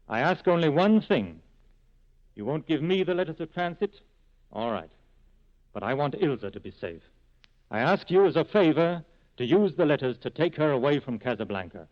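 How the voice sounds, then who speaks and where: Actor as a solemn, noble resistance leader. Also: male voice, mid-range voice, natural voice. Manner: formal, solemn, eloquent. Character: noble, earnest male resistance leader, grave and idealistic. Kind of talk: 1940s radio drama acting. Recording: dull vintage radio recording.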